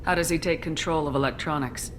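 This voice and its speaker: An actress playing a stern, commanding space military commander in a video game, blunt and probing. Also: female voice, sits low, quick pace, noisy background, lightly acted. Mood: businesslike, probing. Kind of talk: dramatic video game dialogue acting.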